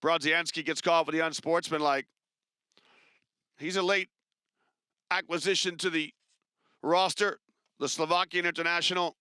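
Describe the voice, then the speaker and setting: Guy doing basketball play-by-play, bright crisp voice, dead dry sound. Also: on the high side, no room sound. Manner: brisk, chatty, rises with excitement on big plays. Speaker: play-by-play commentator. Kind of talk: live basketball commentary.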